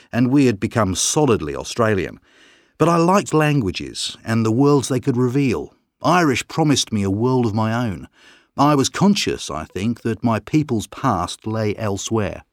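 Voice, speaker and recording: An actor playing a calm, articulate writer being interviewed in a scripted exam recording. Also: male voice, lowish voice, moderate pace, no room sound, clean studio sound, natural voice. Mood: earnest. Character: man, calm thoughtful writer and broadcaster. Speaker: interview guest.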